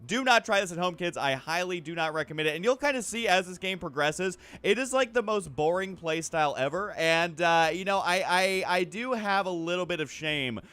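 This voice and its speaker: A man's voice: high-pitched, a little fast, few pauses, sharp tone, no room sound. A man giving casual, self-deprecating commentary over gameplay as a video host, prone to joking exaggeration. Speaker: host of a gaming video channel.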